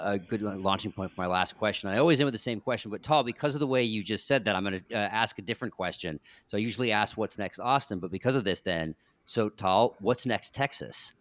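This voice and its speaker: A man's voice: fairly low pitch, brisk, fairly bright tone, dry recording. A man hosting a podcast interview, asking his questions in an easygoing, conversational way. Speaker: podcast host.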